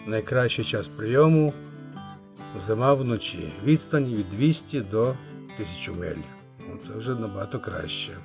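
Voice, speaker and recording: A man giving a casual hands-on review as a channel host, halting and thinking aloud, muttering remarks to himself. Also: on the low side, lively intonation, creaky, music in the background. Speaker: channel host, radio repairer.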